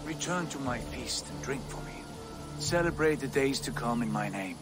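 A man's voice: mid-range voice, animated, normal speed, over music, natural voice. An actor playing a haughty, wounded Viking lord who believes he is a god, speaking solemnly and grandly in a dramatic video game. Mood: resigned.

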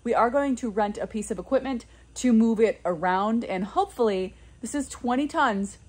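A woman's voice: on the high side, moderate pace, darkish tone. A woman in her thirties, a flower farmer, talking to her phone in a greenhouse in a chatty, upbeat way, explaining the work step by step. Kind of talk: casual vlog narration to camera. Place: inside a greenhouse. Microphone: phone held at arm's length.

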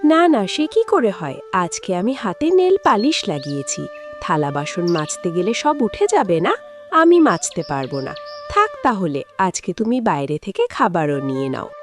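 A woman's voice: on the low side, wildly swooping pitch, normal speed, soft background music. A woman playing a vain, lazy, bossy village wife in a cartoon, scolding and whining as she demands things. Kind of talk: exaggerated cartoon dialogue.

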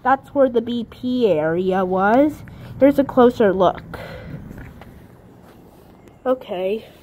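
A high-voiced woman narrates a casual walk-around video as she films. She speaks slowly and haltingly, often leaving sentences unfinished. The handheld outdoor recording has clear background noise. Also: lively intonation, darkish tone. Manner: halting, trailing off mid-sentence. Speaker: amateur vlogger. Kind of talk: casual walk-through commentary. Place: outdoors in an empty parking lot. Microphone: handheld camera held by the speaker. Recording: noisy outdoor handheld camera audio.

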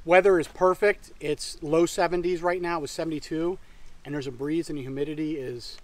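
A man's voice: a little high, fairly quick. Chatty man vlogging in the woods. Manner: relaxed, chatty, thinking aloud with fillers. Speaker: backpacking vlogger. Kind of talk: casual trail vlog narration. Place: outdoors in a forest. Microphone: camera at close range.

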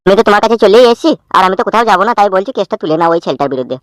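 A man's voice: high-pitched, animated, rapid-fire, no echo, clean studio sound. A man playing an earnest young husband in a comic cartoon, pleading and insistent.

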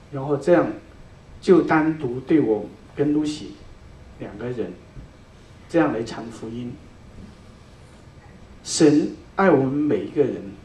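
A middle-aged man gives an earnest, thankful testimony, pausing between short phrases. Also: mid-range voice, darkish tone. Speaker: church member giving a testimony. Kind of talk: personal testimony. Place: large church hall indoors. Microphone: handheld mic, picked up from a distance. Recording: echoey hall recorded from far off.

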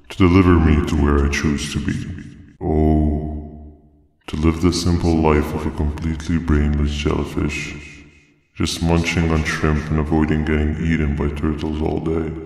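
A menacing jellyfish creature speaks in a very deep, gravelly rumble with flat, calm menace. The lines sit in a long, roomy reverb, like game audio. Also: male voice. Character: menacing jellyfish creature, male, cold and calculating.

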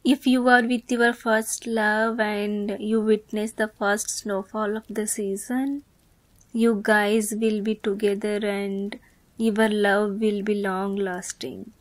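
A woman playing a teasing, affectionate young woman in love in an ASMR romance roleplay, speaking softly and playfully, at times breathily. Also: medium pitch, flat delivery, takes it slowly, no echo, close to an everyday voice. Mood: tender, shy.

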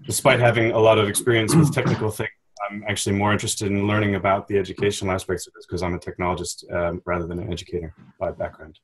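A man's voice: lowish voice, little pitch movement, fast, slightly rough, rough recording. A man in his thirties with an American accent, introducing himself on a video call through a headset, casual and self-deprecating. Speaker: course participant.

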